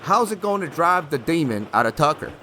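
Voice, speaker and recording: A man plays an indignant backwoods client in an audio comedy. His Southern voice is a bit high and very animated, plaintive and doubting, with noise in the background. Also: brisk. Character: indignant, worried backwoods client, easily exasperated. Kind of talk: comedy horror audio drama acting.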